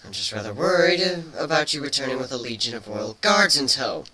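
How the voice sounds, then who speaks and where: A man voicing a playful, teasing female changeling pony in a cartoon fan fiction reading, matter-of-fact even with mock threats. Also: mid-range voice, brisk, crowd noise, natural voice, poor audio. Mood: flustered.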